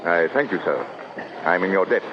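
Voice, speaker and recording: An actor playing a haughty, indignant Loyalist gentleman in an old-time radio drama, pompous and scornful in manner. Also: deep voice, normal speed, natural voice. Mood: stiff, haughty. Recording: dull old radio recording, crowd noise behind.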